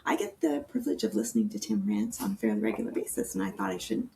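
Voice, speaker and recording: Very creaky woman, lively podcast host. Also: mid-range voice, quick pace, a little hiss or hum. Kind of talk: casual podcast introduction and banter.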